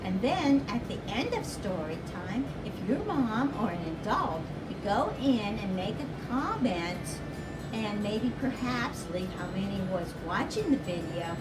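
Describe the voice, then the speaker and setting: Female children's storyteller, slow and very lively in pitch, speaking straight to the kids; distant, noisy recording. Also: over loud music. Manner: slow, expressive, addressing children directly. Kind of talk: read-aloud storytime for young children. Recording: distant mic with loud background noise.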